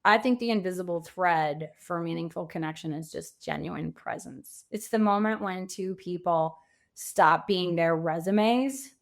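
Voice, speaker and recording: A woman speaking as a coach and podcast guest, reflective and conversational, with emphatic phrasing and deliberate pauses. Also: fairly low pitch, vocal fry. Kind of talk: conversational interview.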